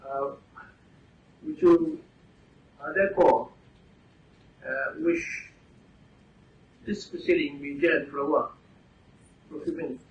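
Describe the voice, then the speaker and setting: Slow, hesitant male judge. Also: fairly high pitch, big pitch swings, muffled recording, a little hiss or hum. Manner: hesitant, full of pauses and uh's. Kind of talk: formal courtroom procedure. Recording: echoey courtroom, from far off.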